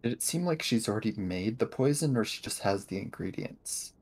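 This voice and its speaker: A man's voice: fairly low pitch, expressive, fairly quick, a little mellow, husky. A man playing a cautious, practical schoolboy investigator in an improvised tabletop game, hesitant and understated. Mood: cautious concern.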